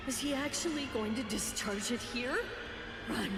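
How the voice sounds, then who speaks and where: A woman playing an earnest, commanding young female warrior in an anime game dub, dramatic and halting. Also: on the high side, natural voice. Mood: desperate. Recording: echoey, with game music behind. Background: game music.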